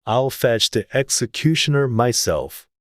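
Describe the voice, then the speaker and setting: A man's voice: mid-range voice, animated, measured pace, no room sound, studio quality, lightly acted. A man voicing a haughty, fussy and easily affronted king in a pompous manner for a dramatized audiobook. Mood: eager, commanding.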